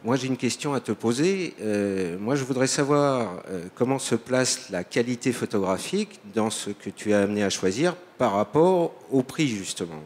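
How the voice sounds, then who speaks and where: A man's voice: medium pitch, expressive, moderate pace, hardly any pauses, a little mellow. A man moderating a panel, introducing speakers with stammering restarts. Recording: long hall reverb with faint noise.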